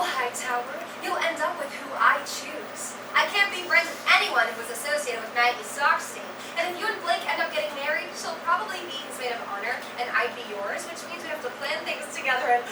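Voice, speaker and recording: Casting: actress for scheming teenage queen bee, high voice, haughty and sarcastic, live musical reading, far from mic in echoey room. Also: lively intonation, brisk. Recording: echoey, distant, loud room noise.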